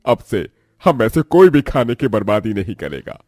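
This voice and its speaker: A man's voice: lowish voice, very animated, moderate pace, darkish tone, no room sound, studio quality. A man playing an earnest elderly father-in-law, head of the family, in a cartoon story. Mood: remorseful.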